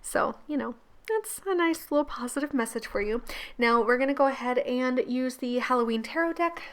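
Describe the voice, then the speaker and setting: Woman, croaky gravelly voice, slightly high pitch, chatty tarot reader, laughs often. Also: normal speed. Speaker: tarot reader and channel host. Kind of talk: casual pick-a-card tarot reading.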